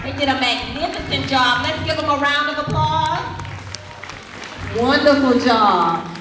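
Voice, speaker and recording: A woman's voice: high-pitched, a little slow, over music. A woman hosting a school event, addressing pupils and parents in an encouraging, praising manner. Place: large echoey indoor room at a school event. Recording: echoey hall, distant mic, crowd noise. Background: crowd and room noise.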